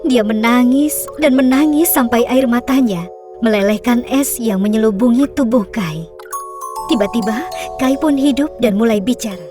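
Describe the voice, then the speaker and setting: High-voiced woman narrating a children's fairy tale. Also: normal speed. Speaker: storyteller, narrator. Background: music.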